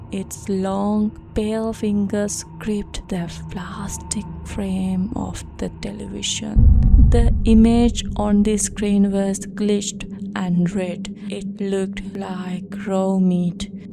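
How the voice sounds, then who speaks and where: A woman narrates a horror testimony in an unhurried, ominous way. Her voice has a heavy fry and keeps to a fairly narrow pitch, with dramatic pauses. There is clear background noise behind her. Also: warm tone. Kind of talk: scripted horror narration as first-person testimony.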